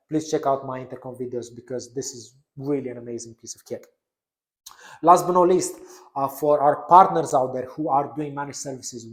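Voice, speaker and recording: A man's voice: medium pitch, a little mellow. Man presenting in an echoey room. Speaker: product update presenter. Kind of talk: explanatory product presentation, semi-scripted. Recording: roomy echo.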